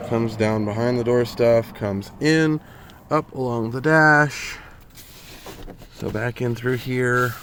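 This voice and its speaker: A man giving a casual, plainspoken DIY walkthrough from inside a truck cab, grumbling mildly as he points things out. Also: medium pitch. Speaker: vlogger showing a DIY truck install. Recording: handheld camera audio with faint vehicle noise.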